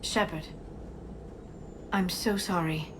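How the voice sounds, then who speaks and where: An actress playing a gentle, earnest alien scholar in a video game, soft-spoken and precise. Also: female voice, lowish voice, steady tone, slow. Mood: shocked, sorrowful. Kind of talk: video game cutscene acting. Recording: noisy vehicle-like ambience behind dialogue.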